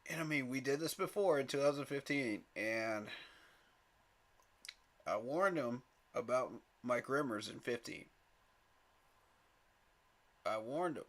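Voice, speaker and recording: A man's voice: medium pitch, takes it slowly, long pauses, a bit bright. Man pleading on a fan stream. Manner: pleading, emphatic, chuckles at rivals. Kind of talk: live stream commentary.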